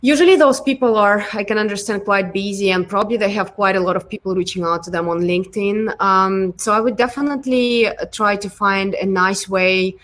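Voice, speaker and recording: A woman's voice: medium pitch, brisk, hardly any pauses, fairly bright tone. A woman in her thirties from Ukraine with a Ukrainian accent, answering interview questions casually with many ums and restarts. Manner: hesitant, many fillers and restarts. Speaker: guest, product design lead.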